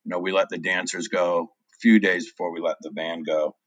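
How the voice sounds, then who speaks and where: A man in his forties talks in a very low, deep voice, recorded dry and close. He rambles through his story as an interview guest, filling gaps with ums. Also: flat delivery, fairly quick, no echo. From New Hampshire. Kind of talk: casual interview chat.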